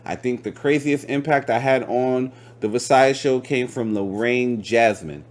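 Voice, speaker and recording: A man's voice: mid-range voice, normal speed, a little hiss or hum. A man in his late twenties hosting a casual interview, slangy and joking, often talking over his guest. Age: late 20s. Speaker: host.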